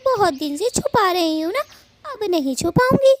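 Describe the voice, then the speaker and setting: A woman's voice: extremely high pitch, very animated, normal speed, background noise. A woman voicing a chatty, indignant female bird in a Hindi cartoon story, gossipy and complaining.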